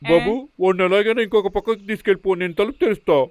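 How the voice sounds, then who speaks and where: A man's voice: high-pitched, steady tone, very fast, slight background noise, lightly acted. A man in a dubbed children's cartoon playing a cheeky, bossy troublemaker full of schemes. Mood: scheming. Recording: music behind the voice.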